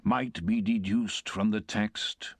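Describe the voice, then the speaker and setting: A man voicing a severe, sanctimonious would-be preacher who moralizes in drawn-out phrases. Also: lowish voice, fairly even intonation, no room sound. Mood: moralizing.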